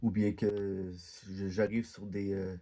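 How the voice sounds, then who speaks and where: A man's voice: lowish voice, level tone, slow pace, warm tone, slight background noise. A man from Quebec with a Québécois French accent, talking casually over his gameplay, hesitant and full of fillers and restarts. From Quebec, Canada. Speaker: gaming video creator.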